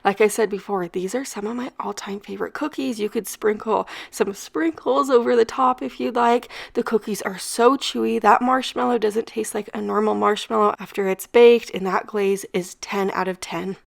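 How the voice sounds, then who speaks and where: A woman's voice: medium pitch, steady tone, moderate pace, creaky, few pauses. A young woman with a slight cold, hosting a cooking show and chatting upbeat through recipe steps, sprinkling in "like" as she goes. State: has a cold. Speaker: cooking channel host. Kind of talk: casual recipe demonstration.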